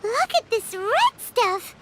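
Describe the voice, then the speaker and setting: A woman voicing a tiny, excitable, childlike fairy companion in a video game, squeaky and exclamatory. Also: slow pace, a little hiss or hum, exaggerated voice. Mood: startled, excited. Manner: squeaky, third-person self-reference, exclamatory.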